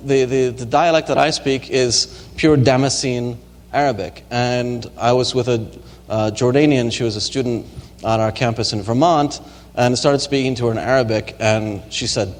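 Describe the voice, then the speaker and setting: A man's voice: medium pitch, fairly even intonation, moderate pace. A man lecturing at a university and answering audience questions, with frequent ums and restarts. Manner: hesitant, many ums and restarts. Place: lecture hall. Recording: reverberant lecture hall recording.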